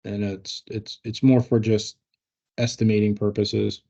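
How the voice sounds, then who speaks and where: A man explaining things informally to colleagues as a senior staff member, in a halting, measured manner. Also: fairly low pitch, level tone, vocal fry, mellow, muffled recording. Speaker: senior colleague answering trainees' questions. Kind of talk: informal explanatory workplace talk.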